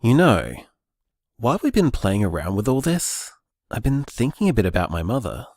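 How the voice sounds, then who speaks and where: Actor doing earnest young guy figuring out magic, lowish voice, swings up and down, dry sound. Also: normal speed, no echo. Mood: musing. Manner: thoughtful, musing, lively delivery. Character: earnest young man discovering luck magic. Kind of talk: audio drama, voice-message monologue.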